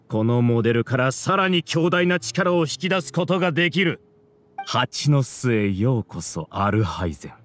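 A man voicing an arrogant scholar villain in a video game, grandiose and lecturing in a theatrical way. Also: medium pitch. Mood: bitter, haughty. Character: arrogant male scholar villain, mastermind of a hive mind. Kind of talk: Japanese video game voice acting.

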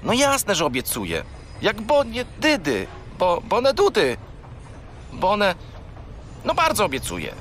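Wildly animated cheeky furry creature. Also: male voice, high-pitched, slow pace, pauses often, noisy background, heavily acted. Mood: whiny, pleading. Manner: rambling, naively evasive, whiny. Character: naughty, chatty, cheeky little furry toy companion. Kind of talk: children's radio play acting.

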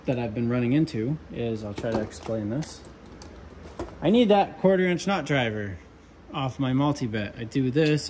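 A young man, an HVAC controls tech, chatting casually through his tool bag at a workbench, relaxed with lots of ums and asides. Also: mid-range voice, slight background noise. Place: workshop with pegboard and workbench.

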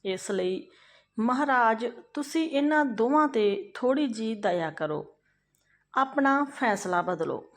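A woman's voice: a little high, expressive, slow. A woman storyteller voicing a merciful, reasoning male royal minister making a respectful, earnest appeal in a folk tale.

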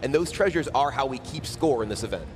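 A man's voice: mid-range voice, expressive, quick pace, faint music behind. A man giving analytical live commentary as an esports commentator.

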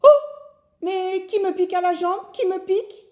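A woman voicing a big, blustering, angry male woodcutter who shouts and growls threats. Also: extremely high pitch, steady tone, measured pace, reverberant, muffled recording, voice pushed up. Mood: yelling in pain.

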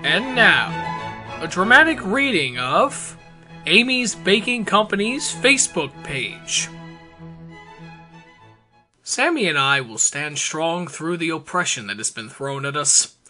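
A man giving an over-the-top dramatic reading, theatrical, emphatic and deliberate. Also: on the high side, sing-song, sharp tone, soft background music. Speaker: performer reading social media posts aloud.

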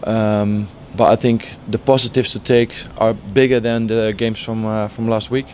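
A man in his forties speaks in a level, flat voice with little pitch movement, pausing often and restarting sentences as he searches for words. He stands outdoors by the pitch at a stadium, and a faint outdoor hum sits beneath his voice. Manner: halting, many fillers and restarts. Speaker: football head coach. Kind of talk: post-match interview.

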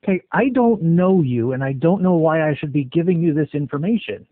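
A man's voice: a little high, lively intonation, fairly quick, dry recording. Blunt man on a tinny phone line. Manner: blunt, questioning, skeptical. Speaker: call recipient stringing scam callers along. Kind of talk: phone conversation.

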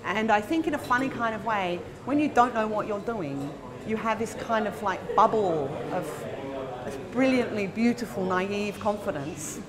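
An Australian woman in her forties talking conversationally in an interview as a film producer, in an animated, emphatic, informal manner. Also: mid-range voice, normal speed. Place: indoor room by a bright window at a festival. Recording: chatter of people in the background.

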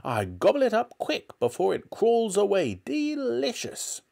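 A man's voice: high-pitched, wildly swooping pitch, a little slow, creaky, a little mellow, voice pushed up, full character voice. A man voicing a nasty, tiny old grandmother who scolds bossily in a storybook reading. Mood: gloating relish. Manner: scolding, snapping, relishing the disgusting.

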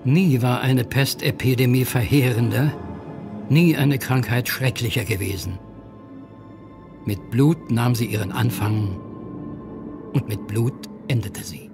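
An actor as the grave, solemn storyteller of a gothic horror audio drama, in measured scripted narration. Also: male voice, medium pitch, creaky. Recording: music behind.

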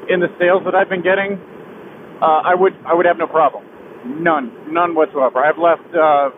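A young man riding a motorcycle on a highway, talking through a helmet mic in a halting, heavy-hearted confession that keeps restarting. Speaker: motovlogger. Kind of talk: personal confession while riding. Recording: tinny helmet mic with wind and road noise.

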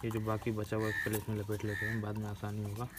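A man's voice: on the low side, completely flat delivery, quick pace. A man giving a casual hands-on tutorial as host of a DIY video, recorded on a phone. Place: open concrete rooftop outdoors. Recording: noisy outdoor recording with cawing crows. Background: loud outdoor ambience, crows cawing.

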